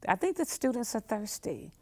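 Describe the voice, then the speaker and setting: A woman's voice: fairly high pitch, very animated, moderate pace, mellow, no echo. An older woman giving conversational interview answers as a guest, emphatic and repeating phrases for weight. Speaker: museum professional guest.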